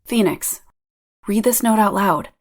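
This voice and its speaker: A woman acting a steely, commanding female lawyer in a video game drama, firm and deliberate. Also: medium pitch, natural voice. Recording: long echoing reverb.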